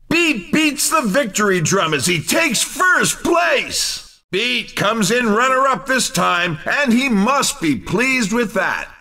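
A man playing an upbeat, excitable race commentator in a video game, with punchy, emphatic sports-commentary delivery. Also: very high-pitched, distant mic, full character voice. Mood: excited. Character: upbeat, excitable male racing-game announcer.

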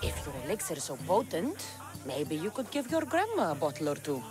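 A confident female mercenary in an ancient-world video game. Her delivery swings widely in pitch, with dry sarcasm and a boastful edge. Music is clearly audible behind her. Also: sing-song, a little fast. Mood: sardonic. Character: confident, sardonic adult female Greek warrior. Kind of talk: video game character acting.

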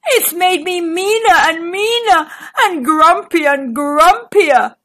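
A woman voicing a big, grumpy but soft-hearted male sea monster in a storybook, with exaggerated whining. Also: very high-pitched, expressive, slow pace, pitched above the performer's own voice, exaggerated voice. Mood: whiny, apologetic.

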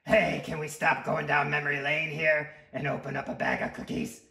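A man's voice: fairly high pitch, little pitch movement, moderate pace, creaky, sharp tone, reverberant. A man voicing a bossy, greedy, wisecracking talking tooth from a children's storybook, brash and demanding. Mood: bossy, egging on.

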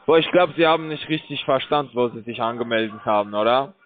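A man cold-calling as a scam call center agent, pushing a sales pitch in broken grammar with hesitant fillers, heard over a tinny phone line. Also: quick pace.

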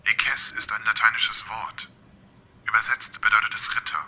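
A man's voice: fairly low pitch, little pitch movement, normal speed, bright, forceful tone. A man playing a polite, literal-minded talking car computer in an amateur radio play, formal and precise. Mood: matter-of-fact.

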